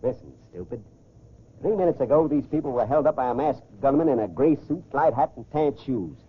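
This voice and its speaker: Bossy ruthless robber in an old 40s radio crime show, muffled lofi sound. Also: male voice, medium pitch, animated, brisk, breathy edge. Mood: smug, hurried. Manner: barking, commanding, threatening. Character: ruthless, boastful gentleman stickup robber, scheming and menacing. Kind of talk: 1940s radio crime drama acting. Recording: dull lo-fi 1940s radio recording.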